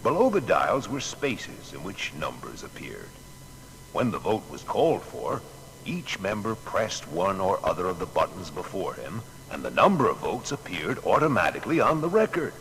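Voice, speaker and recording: Earnest plain-spoken inventor, low pitch, lively intonation, measured recollection, audio biography drama. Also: male voice, full character voice. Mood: explanatory, proud. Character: Thomas Edison, earnest plain-spoken male American inventor, reminiscing. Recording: long hall reverb, faint noise.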